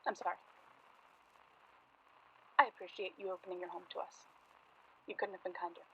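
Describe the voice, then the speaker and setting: A woman's voice: lowish voice, fairly even intonation, normal speed, sharp tone, dull audio, slight background noise. A young woman playing an earnest, anxious journalist in a naturalistic period radio drama, polite and guarded in manner. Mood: anxious, subdued. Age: young adult.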